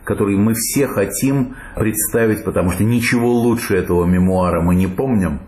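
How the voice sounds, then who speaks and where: A man's voice: lowish voice, moderate pace, a little mellow. A man interviewing a guest with long, winding, probing questions. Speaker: radio interviewer. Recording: roomy studio with faint hiss.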